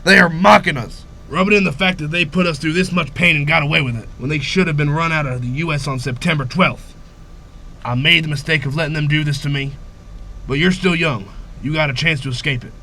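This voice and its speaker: A man playing a bitter, dying older father and former firefighter in an audio drama, ranting and quick to anger. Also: on the high side, steady tone, slight background noise, poor audio. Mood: angry, resentful. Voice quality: wheezy, breathy from lung disease. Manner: ranting, embittered, quick to shout.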